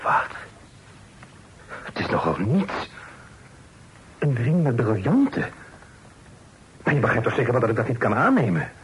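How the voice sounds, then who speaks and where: An actor in a radio play voicing an upright, respectable burgher with a proper, reproachful manner. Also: male voice, mid-range voice, very animated, a little slow, long pauses, slight background noise, rough recording. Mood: stern.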